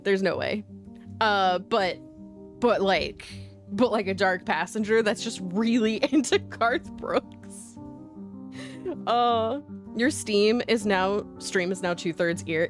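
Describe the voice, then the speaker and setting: A woman livestreamer rambling casually to her viewers in a playful, goofy way, full of slang and silly exclamations. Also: medium pitch. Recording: music playing behind the voice.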